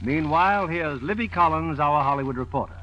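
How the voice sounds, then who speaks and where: A man's voice: fairly high pitch, brisk. A man hosting a 1940s radio show with scripted promotional talk. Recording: dull vintage radio recording.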